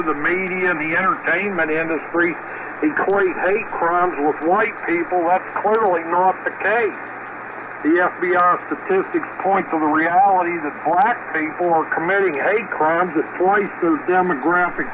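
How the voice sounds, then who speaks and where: High-pitched man on crackly shortwave radio. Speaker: ham radio net speaker. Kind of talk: reading a political commentary aloud. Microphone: radio transmitter microphone. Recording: noisy narrowband shortwave ham radio transmission.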